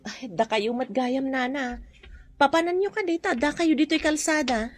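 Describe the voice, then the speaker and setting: An actress plays a soft-hearted younger woman in a radio drama, pleading and concerned. She has a Filipino accent and a somewhat high voice. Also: normal speed, a little hiss or hum, lightly acted.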